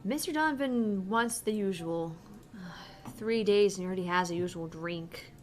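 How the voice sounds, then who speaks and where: A woman's voice: mid-range voice, normal speed, a little hiss or hum. A woman co-hosting a gaming show, reading a visual novel aloud and commenting casually.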